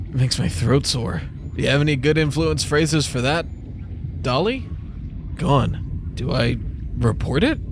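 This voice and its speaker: A man's voice: medium pitch, noisy background, heavily acted. An actor playing a lonely, self-deprecating male night security guard with an awkward manner in a horror comic dub. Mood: uneasy.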